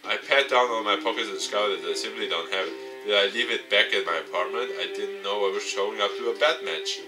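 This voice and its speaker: A man reading a visual novel aloud as a let's player, with expressive dramatic narration. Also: lowish voice, fast, over music, poor audio. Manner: expressive, acts out dialogue.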